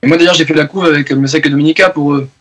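A man's voice: on the high side, fairly even intonation, racing, a bit bright, breathy edge. A man in his forties from France, a musician talking loosely in a radio interview, full of fillers and restarts. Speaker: guest musician. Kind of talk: casual interview talk.

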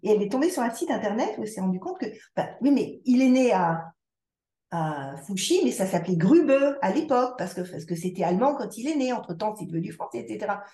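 A woman's voice: mid-range voice, sing-song, fast, warm tone, echoey room. A woman speaking as a podcast guest in reflective conversation, circling back and restarting her sentences as she thinks aloud. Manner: reflective, many restarts and repetitions. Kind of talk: conversational interview.

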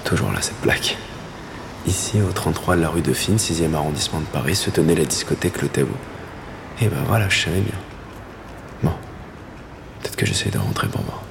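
A man's voice: extremely low pitch, expressive, brisk, vocal fry, long pauses. A man in his thirties muttering casually to himself on location. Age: 30s. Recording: noisy location sound with traffic.

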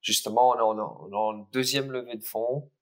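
A man's voice: mid-range voice, steady tone, fairly quick. A man who grew up in Ireland, a native English speaker speaking with a slight Irish accent, answering interview questions hesitantly with many fillers as a startup cofounder.

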